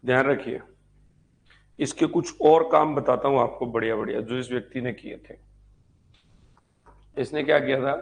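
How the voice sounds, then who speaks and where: A man's voice: medium pitch, moderate pace, gravelly, pauses often. A man teaching an online class, repeating points, quizzing his students and chatting with them. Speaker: teacher of an online history exam-prep class. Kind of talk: live lecture with chatty asides to students.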